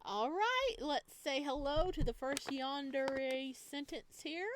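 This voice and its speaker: A woman hosting a let's play, reading a visual novel aloud with playful, expressive casual commentary. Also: high-pitched, slow, background noise.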